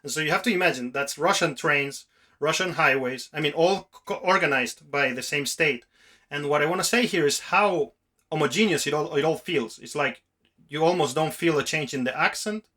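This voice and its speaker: Bright, forceful-voiced male podcast host. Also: a little high, normal speed. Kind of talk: casual, reflective podcast conversation.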